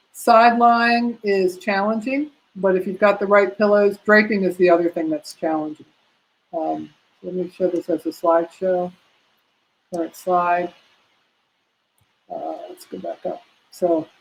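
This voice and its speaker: A woman's voice: mid-range voice, poor audio. A woman teaching prenatal massage in a live online class. She talks in a casual, chatty way with many fillers and talks to herself while she clicks through slides.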